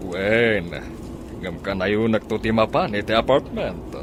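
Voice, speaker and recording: A man's voice: fairly low pitch, animated, measured pace, background noise. A man with an Ilocano accent playing a courteous, generous older businessman in a radio comedy drama, speaking politely and a little hesitantly. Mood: obliging. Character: courteous, generous older businessman befriending two college girls.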